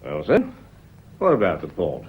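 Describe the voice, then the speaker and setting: Deep, husky, wily police inspector. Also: male voice, wildly swooping pitch, normal speed, exaggerated voice. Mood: drily probing. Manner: courteous, probing, drily understated. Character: shrewd, genial male police inspector, older, deferential yet probing. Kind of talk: radio drama acting. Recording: muffled old radio drama recording.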